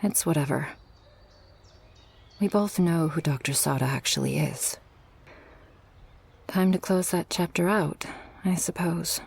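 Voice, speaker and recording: Woman playing a breathy, low-voiced shark girl. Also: unhurried, pauses often, heavily acted. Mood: resigned. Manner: soft, breathy, intimate, often sighing. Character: guarded, loving shark girl, former freak show performer. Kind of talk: ASMR roleplay acting.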